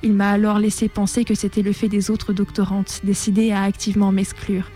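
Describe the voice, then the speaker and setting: Even-toned young woman reading a testimony. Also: mid-range voice, fairly quick, faint music behind. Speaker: narrator of a personal testimony on a radio show. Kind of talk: scripted first-person narration, literary testimony read aloud.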